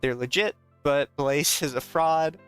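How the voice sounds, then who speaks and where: A man's voice: a little high, measured pace, clean tone. A man co-hosting a podcast in casual chat, often reading aloud with a mocking, profane manner. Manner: mocking, profane, laughs easily. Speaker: podcast co-host. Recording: soft background music.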